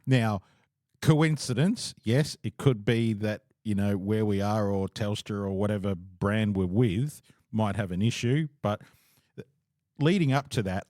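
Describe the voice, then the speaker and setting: A man hosts a relaxed podcast conversation over a call. His voice sits on the low side and sounds dry and close, and he rambles through fillers with frequent laughter. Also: dry recording. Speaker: podcast host. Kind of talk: casual interview chat.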